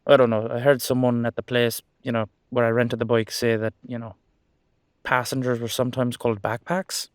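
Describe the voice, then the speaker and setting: A man's voice: mid-range voice, little pitch movement, normal speed, no room sound, clean studio sound, natural voice. A man playing a cheeky, easygoing immortal headless horseman in a roleplay audio drama, talking in a casual, rambling, reassuring way. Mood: playful, casual.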